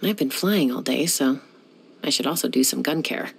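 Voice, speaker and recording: A woman's voice: rumbling low, normal speed, vocal fry, slightly rough, full character voice. A young woman voicing a self-assured, dryly teasing gunslinger who flies and settles disputes, in video game voice lines. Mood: cool. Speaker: game character.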